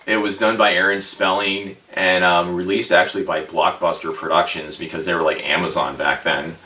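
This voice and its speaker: A man giving a casual, unscripted retrospective as a video host, talking in a halting, rambling, tentative way. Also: deep voice, level tone, sharp tone, a little hiss or hum. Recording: echoey room, distant microphone.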